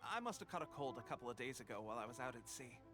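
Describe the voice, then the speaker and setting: A man's voice: mid-range voice, expressive, very fast, music in the background, natural voice. An actor voicing an earnest young male resistance soldier who is coughing from a cold, in a game cutscene. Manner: earnest, coughing between lines. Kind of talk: video game cutscene voice acting.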